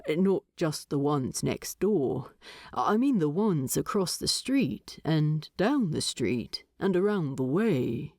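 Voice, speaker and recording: A woman voicing a gruff, terse male dwarf in an audiobook, speaking seriously and with deliberation. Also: sits low, sing-song, slow pace, dark tone, no echo, clean studio sound, voice pushed down, heavily acted. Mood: probing. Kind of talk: audiobook character voice.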